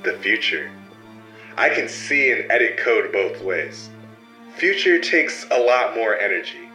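An actor playing a cold, secretive male agent who edits code with his powers, speaking calmly and dismissively in an audio drama. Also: fairly low pitch, measured pace, reverberant, faint music behind, heavily acted, rough recording. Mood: smug. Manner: calm, dismissive, condescending.

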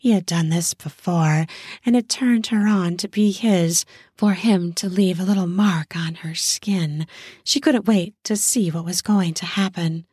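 Low, husky woman narrating slowly. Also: darkish tone, dry recording, clean studio sound. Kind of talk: scripted erotic story narration.

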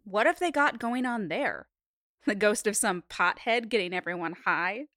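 A woman's voice: medium pitch, lively intonation, normal speed, a bit bright, dry recording, close to an everyday voice. A woman playing a sarcastic, irreverent young townie ghost hunter in an audio drama.

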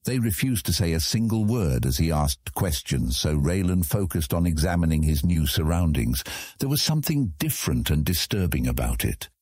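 Male narrator, very low and husky, scripted epic fantasy audiobook delivery, dry studio sound. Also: sing-song, moderate pace, darkish tone, no room sound.

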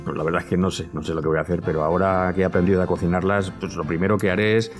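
A man's voice: sits low, little pitch movement, racing, soft background music, natural voice. An actor playing a good-natured, fussy young man, easily flustered and hesitant, in conversational audio comedy. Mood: resolute. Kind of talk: Spanish-language audio fiction comedy acting.

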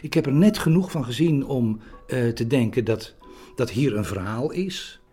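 Soft dark husky guy, careful halting interview talk, repeats himself, quiet music behind. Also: medium pitch, expressive. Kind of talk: hesitant recollection in an interview.

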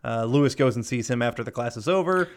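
A man's voice: medium pitch, lively intonation, fast. A man co-hosting a podcast in casual chat, rambling through a film's scenes with playful quoted lines, laughing and swearing now and then. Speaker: podcast co-host.

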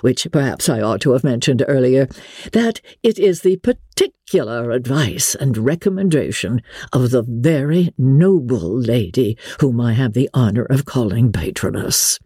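A woman voicing a pompous, self-satisfied clergyman who speaks in a slow, formal, long-winded way, in a dramatic audiobook reading of a classic novel. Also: rumbling low, animated, mellow, slightly rough, no echo, studio quality, heavily acted. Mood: self-important. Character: pompous, self-satisfied, solemn young clergyman proposing marriage.

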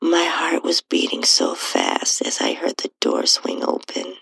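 Husky, whispery woman with heavy vocal fry. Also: a bass voice, wildly swooping pitch, unhurried, fairly bright tone, no echo. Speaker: podcast host and narrator reading own story. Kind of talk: intimate first-person erotic audio storytelling.

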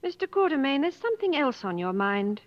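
Proud, high-voiced wealthy woman. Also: big pitch swings, a little fast, big-room sound. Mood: challenging. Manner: crisp, challenging, formal. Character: proud, strong-willed, wealthy woman on safari. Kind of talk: 1950s radio drama acting. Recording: muffled old radio broadcast.

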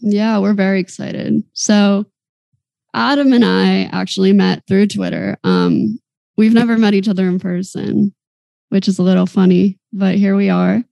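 Young woman cohosting a podcast, giggly chatty, gravelly low-bright voice, dry sound. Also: a little slow, no room sound. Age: 20s. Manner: chatty, giggly, animated storytelling. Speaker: podcast co-host. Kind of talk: casual chat.

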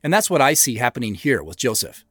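An American man giving measured, thoughtful director's commentary on an audio drama he created, in a reflective scripted style. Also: medium pitch, very animated, a bit bright. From United States, Pacific Northwest.

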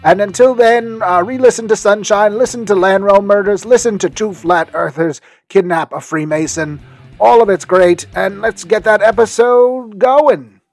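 A man's voice: high voice, normal speed, soft background music. A man playing an eccentric, rambling podcast host who interrupts himself while chattily making recommendations. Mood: eager. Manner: rambling, self-interrupting, swinging from muttering to hearty. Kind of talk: chatty promotional monologue.

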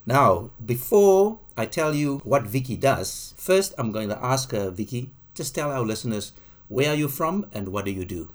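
A man hosting a studio interview podcast, asking questions in a measured way with fillers. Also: medium pitch, big pitch swings. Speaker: podcast host. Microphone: studio mic.

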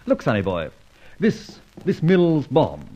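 An actor playing a suave, mocking gentleman adventurer, sardonic and mock-courteous, in an old radio drama. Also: fairly high pitch, huge pitch swings, takes it slowly, heavily acted. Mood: taunting, confident. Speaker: lead and narrator of radio serial. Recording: muffled old radio recording.

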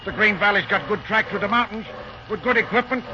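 A man's voice: high voice, fairly quick, natural voice. An actor playing a cocky, brusque, slangy locomotive fireman in an old-time radio drama. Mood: confident. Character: scrappy, cocky locomotive fireman, tough working man. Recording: noisy, muffled old radio recording.

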